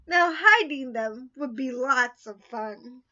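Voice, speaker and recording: A woman reading a children's picture book aloud in an expressive, sing-song way. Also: high voice, takes it slowly, dull audio. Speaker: storybook reader.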